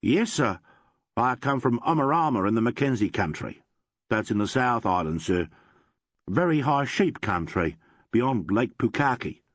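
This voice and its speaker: A man's voice: mid-range voice, expressive, a little slow, dry recording. A man voicing a polite, country-bred male police sergeant from New Zealand, plainspoken and respectful.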